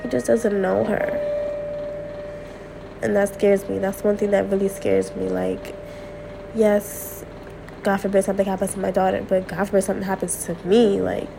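A woman's voice: mid-range voice, lively intonation, moderate pace, gravelly, long pauses, noisy background. A young woman hosting a personal podcast, talking candidly and repeating herself as she vents late at night while getting over a cold. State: recovering from a cold. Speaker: podcast host.

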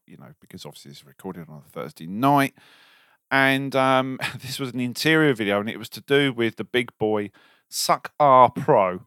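A man's voice: mid-range voice, a bit bright, clean tone, no echo, clean studio sound. A man co-hosting a casual podcast, tired after a night shift, rambling with lots of ums and jokes. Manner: rambling, jokey, many ums. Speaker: podcast co-host. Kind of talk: casual chat.

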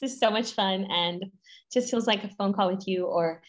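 A woman's voice: mid-range voice, normal speed. A woman in her thirties, raised in Guatemala and Mexico, chatting as a podcast guest, bubbly and rambling with frequent laughter. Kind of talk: casual interview chat.

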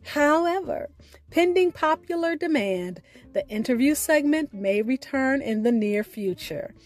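A woman hosting a podcast, reading a scripted sign-off in a deliberate, carefully enunciated way. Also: high-pitched, expressive, soft background music. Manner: deliberate, spells out names and details. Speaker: podcast host.

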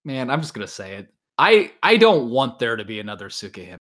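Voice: mid-range voice, big pitch swings, fairly quick, fairly bright tone. Casual, opinionated podcast host. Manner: opinionated, sweary, joking. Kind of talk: casual podcast chat about anime and visual novels.

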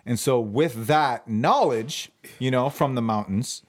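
A man's voice: mid-range voice, measured pace. A man hosting a casual movie chat, teasing his friends and leading the questions. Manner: animated, teasing, leads the questions.

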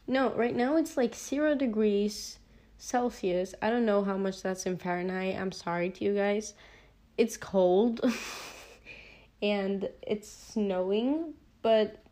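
A woman's voice: mid-range voice, mellow. A sixteen-year-old girl from Norway with a Norwegian accent, hosting a casual solo podcast, rambling with lots of fillers and self-conscious asides. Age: teen. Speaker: podcast host.

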